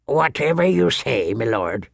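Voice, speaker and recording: A man voicing a gruff, sour old member of a sworn brotherhood in an audiobook, blunt and grunting, with a gravelly voice. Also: medium pitch, sing-song, takes it slowly, no echo, studio quality, heavily acted. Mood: unimpressed.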